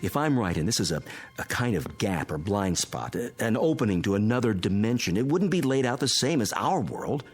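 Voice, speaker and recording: An actor playing an analytical scientist friend in a radio drama, explaining strange phenomena in a deliberate, instructing manner. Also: male voice, fairly low pitch, sing-song, husky, soft background music. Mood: urgent, cautioning. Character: calm analytical male physicist friend explaining a mystery. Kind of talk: modern radio drama acting.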